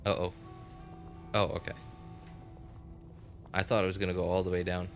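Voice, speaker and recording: A man's voice: sits low, level tone, moderate pace, soft background music. A man casually chatting with viewers while he plays on a livestream, rambling and rephrasing himself as he goes. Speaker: game livestreamer. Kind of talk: casual chat with live stream viewers while gaming.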